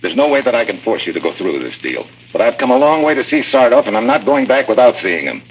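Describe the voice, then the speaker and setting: An actor playing a tough, determined secret agent in a radio drama, terse and firm. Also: male voice, mid-range voice, sing-song, quick pace, husky. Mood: firm, insistent. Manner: terse, hard-boiled, firm. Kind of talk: 1950s radio drama acting. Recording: muffled, noisy old radio recording.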